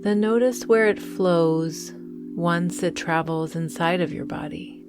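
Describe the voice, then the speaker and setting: Female meditation guide, soothing and unhurried, very slow delivery, lowish pitch, music bed underneath. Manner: gentle, soothing, deliberate phrasing with long pauses.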